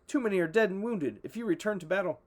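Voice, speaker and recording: A man's voice: high-pitched, animated, quick pace, pitched above the performer's own voice. A man voicing a distraught young male squire who implores his lord. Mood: pleading.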